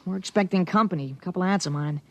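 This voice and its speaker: Casting: knowing, polite schoolboy, earnest and slightly hesitant, vintage radio drama, dry sound. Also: male voice, sits high, racing, fairly bright tone, no room sound. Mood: casual, guarded. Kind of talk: 1950s radio drama acting.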